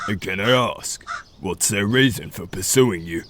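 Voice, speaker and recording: A man's voice: lowish voice, unhurried, fairly bright tone, slight background noise. A man playing an authoritative animal pack leader in an audio drama, speaking formally and with command. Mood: stern.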